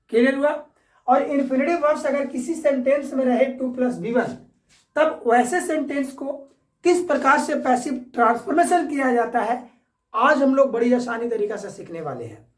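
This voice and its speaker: Indian lady teacher, highish voice, explains step by step n repeats stuff, roomy echo far from mic. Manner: instructional, repeats each point, checks understanding with short questions. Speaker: English grammar teacher. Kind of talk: step-by-step classroom lesson. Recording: echoey room, distant microphone.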